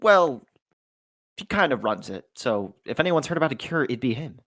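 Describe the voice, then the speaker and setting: A stubborn college freshman boy on the run, played by a young performer at a table read. He sounds earnest and pleading, with a mid-pitched voice. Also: sing-song, normal speed, a bit bright. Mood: hopeful. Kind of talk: amateur script table read.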